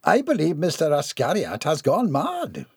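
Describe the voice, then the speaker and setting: A raised, prim and proper older lady who frets , as a male reader puts her on. Her speech is fussy and precise, with some gravel showing through. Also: on the high side, wildly swooping pitch, normal speed, no echo, studio quality, voice pushed up, heavily acted.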